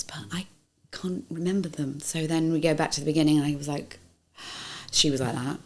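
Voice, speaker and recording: Woman, low voice, self-mocking storyteller, soft asides. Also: gravelly. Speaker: interviewee, actress. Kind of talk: anecdotal interview.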